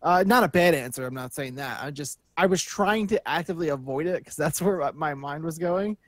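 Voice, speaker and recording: A man's voice: fairly high pitch, a little fast, dry recording, clean studio sound. A man co-hosting a casual gaming chat, talking in a blunt, informal way. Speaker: show co-host. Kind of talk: casual video game panel chat.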